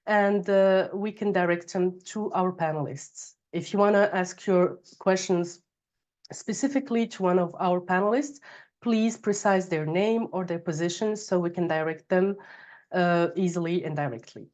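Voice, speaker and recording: A woman's voice: lowish voice, normal speed, darkish tone. A woman hosting an online webinar, recovering from a cold and reading housekeeping notes with some fillers. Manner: bilingual, reads housekeeping notes with frequent fillers. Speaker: webinar host. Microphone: video call.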